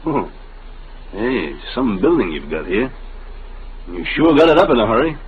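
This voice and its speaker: A man's voice: mid-range voice, sing-song, normal speed, lightly acted. An actor playing a skeptical, blustery middle-aged family man and salesman, with an American accent and folksy grumbling, in classic radio drama. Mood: surprised, curious. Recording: muffled, noisy vintage radio recording.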